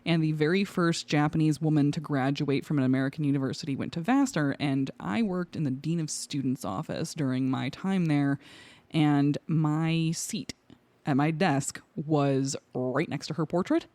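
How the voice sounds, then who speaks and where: A woman's voice: low-pitched, moderate pace, dark tone, no room sound. A woman telling personal stories in casual conversation as a podcast guest, acting out bits of her own thoughts as she goes.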